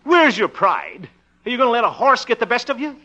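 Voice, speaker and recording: A man's voice: very high voice, wildly swooping pitch, a little fast, loud music behind the voice, full character voice. An actor playing a blustery, sarcastic small-town man in a 1950s radio sitcom, theatrical and easily exasperated. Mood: amused, musing. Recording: noisy, muffled 1950s radio recording.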